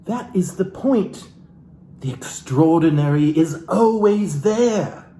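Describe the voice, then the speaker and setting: Theatrical man, swooping pitch, roomy sound. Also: animated, warm tone, a little hiss or hum. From Alaska. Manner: florid, mock-genteel, dramatic emphasis and pauses. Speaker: host reading aloud from a book. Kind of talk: comedic theatrical book reading with asides to viewers. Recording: roomy, echoey room sound.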